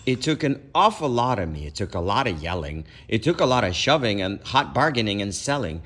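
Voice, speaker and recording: A man's voice: fairly low pitch, sing-song, brisk, fairly bright tone, slightly rough. A man reading a children's storybook aloud expressively, as a parent would for kids.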